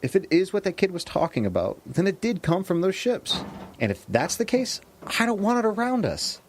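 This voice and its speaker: An actor playing a cautious, worried man surviving on the road in an audio drama, with a husky voice and wary, questioning delivery. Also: high-pitched, sing-song, normal speed, a little hiss or hum. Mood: wary, tense. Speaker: supporting lead actor. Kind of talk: naturalistic audio drama dialogue.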